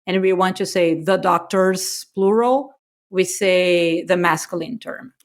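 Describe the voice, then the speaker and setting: A woman from Brazil, a native Portuguese speaker, co-hosting a podcast in casual, animated conversation and laughing often. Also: fairly low pitch, a little slow. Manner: animated, laughs often, self-mocking asides. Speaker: podcast co-host.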